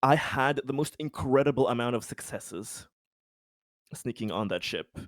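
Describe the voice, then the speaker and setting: A man's voice: mid-range voice, animated, a little slow, no room sound, clean studio sound. A man playing a tabletop RPG, casually recapping his character's schemes in a rambling, sweary, self-amused way.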